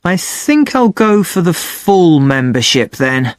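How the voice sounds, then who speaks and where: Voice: mid-range voice, big pitch swings, slow pace, no room sound. An actor playing a polite young amateur photographer, explaining things a little hesitantly in a scripted listening-test dialogue. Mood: chatty, keen.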